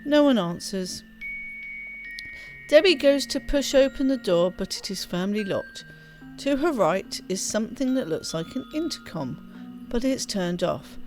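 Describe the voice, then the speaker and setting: A woman reading a game's story text aloud in an expressive storybook style, with offhand asides as she plays. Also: fairly high pitch, a little slow, soft background music. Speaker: let's play host. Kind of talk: reading game narration aloud.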